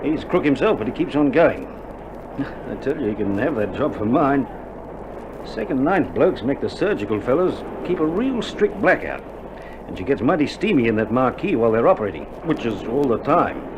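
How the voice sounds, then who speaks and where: Actor as a lively-voiced Australian army doctor, noisy old recording. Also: male voice, mid-range voice, normal speed, close to an everyday voice. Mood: weary, wry. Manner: colloquial, matter-of-fact, blokey. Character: weary, dry-humoured army doctor in a wartime field hospital. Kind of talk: recorded radio drama acting. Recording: dull vintage vinyl recording, loud noise.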